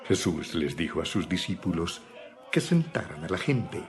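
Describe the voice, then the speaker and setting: A man reading scripted Bible narration with solemn, deliberate phrasing. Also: deep voice, very animated. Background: background music.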